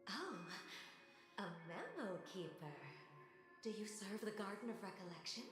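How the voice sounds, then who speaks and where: A woman's voice: medium pitch, sing-song, moderate pace, natural voice. An actress playing a courtly, teasing, menacing female game villain who savors her words theatrically. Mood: playful menace. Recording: very echoey, clear music behind.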